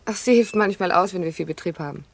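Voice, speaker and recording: An actress playing a young, forthright hotelier's daughter, casual and friendly in a radio crime drama. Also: female voice, on the low side, fast, creaky. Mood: guarded, then friendly.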